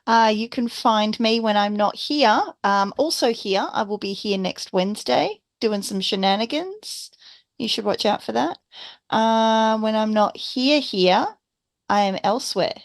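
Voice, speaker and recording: A woman's voice: fairly high pitch, measured pace, no echo. A woman who plays tabletop games, chatting casually in a playful, dryly teasing way. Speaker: tabletop game player. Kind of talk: casual chat.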